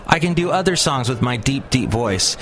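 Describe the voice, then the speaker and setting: A man's voice: mid-range voice, animated, moderate pace, a bit bright. A man hosting his own podcast in casual solo talk, rambling and chatty with lots of fillers and restarts. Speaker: podcast host.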